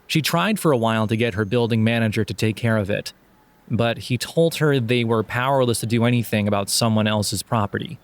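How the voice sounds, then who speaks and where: A man narrating a scripted audio drama in a measured storytelling delivery. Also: on the low side.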